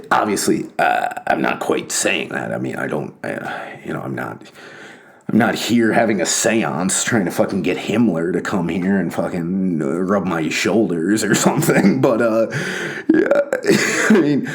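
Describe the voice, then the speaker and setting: A man talking off the cuff in a rambling, halting way, full of fillers and restarts, with a wry self-mocking streak. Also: low voice, lively intonation, creaky. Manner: wry, laughs at himself. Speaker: commentator on books and ideas. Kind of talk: casual monologue.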